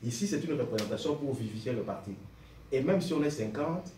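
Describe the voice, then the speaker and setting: A man's voice: medium pitch, expressive, fairly quick. A man making an earnest, argumentative impromptu speech from the floor of a political meeting. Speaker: party member speaking from the floor. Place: meeting room, indoors. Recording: roomy, distant mic, noisy hall.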